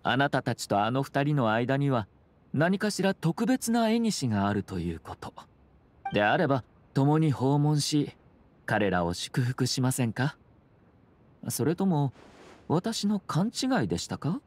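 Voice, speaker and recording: An actor voicing a suave young noble lord in a video game, speaking formal, measured with courteous composure and subtle wryness. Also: male voice, mid-range voice, big pitch swings. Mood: calm, inviting.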